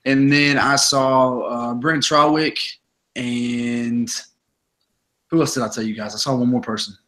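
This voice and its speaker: A young man with a raspy voice, hosting a casual sports podcast and telling stories in an energetic, chatty way full of fillers. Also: mid-range voice, measured pace. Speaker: podcast host.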